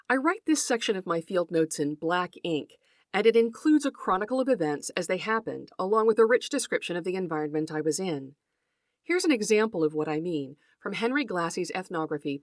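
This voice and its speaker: A woman's voice: on the low side, no echo, studio quality. A woman hosting an educational podcast, reading a script in an earnest, articulate manner with formal phrasing. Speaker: podcast host and folklore researcher.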